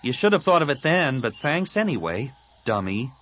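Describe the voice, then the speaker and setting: Man voicing a feisty high-pitched teenage girl. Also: sing-song, normal speed, a bit bright, slight background noise. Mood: teasing, indignant. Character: spirited, feisty fourteen-year-old girl, teasing and stubborn. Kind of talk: audiobook character dialogue.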